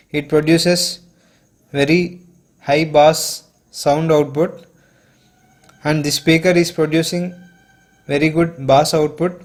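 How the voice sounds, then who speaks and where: A man giving an unscripted product demonstration, explaining plainly step by step in short, simple phrases. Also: a little high. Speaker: product reviewer.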